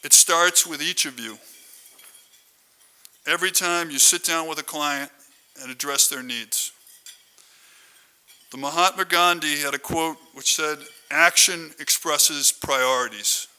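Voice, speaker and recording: A man's voice: fairly high pitch, measured pace, long pauses, bright, forceful tone, clear, resonant tone. A man hosting a conference, giving a formal, prepared introduction speech. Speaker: conference host introducing keynote speaker.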